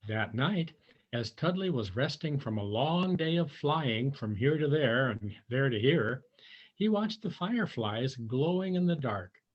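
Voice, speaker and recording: A man's voice: mid-range voice, lively intonation, unhurried, dull audio. A man reading a children's storybook aloud, chatting to his young listeners in conversational asides.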